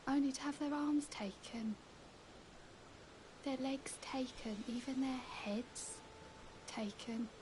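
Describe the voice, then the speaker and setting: An actress playing a timid, mournful young woman in a video game, with a halting, wistful, hushed delivery. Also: high voice, noisy background. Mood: mournful, haunted. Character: timid, mournful young woman, self-confessed craven, darkly whimsical. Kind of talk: video game character dialogue.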